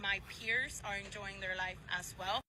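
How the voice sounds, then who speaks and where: A Cuban woman giving a quick, matter-of-fact answer in a street interview clip. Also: medium pitch, a crowd in the background. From Cuba.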